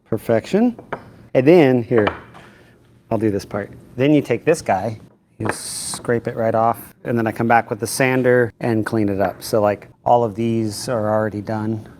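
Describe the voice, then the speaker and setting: A man's voice: fairly low pitch, a little slow, a little mellow, a little hiss or hum. A middle-aged man narrating his woodworking in a casual, jokey, matter-of-fact way from his workshop. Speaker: woodworking video maker. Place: woodworking shop.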